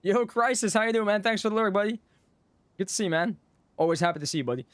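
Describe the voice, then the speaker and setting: A man's voice: sits high, expressive, quick pace, fairly bright tone, clear, resonant tone, dry recording. A man giving casual, joking live commentary as a game streamer, often repeating his phrases.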